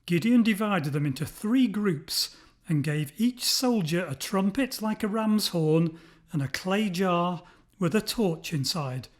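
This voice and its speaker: A man's voice: sits high, expressive, unhurried. A man narrating a scripted children's story expressively, as a storyteller.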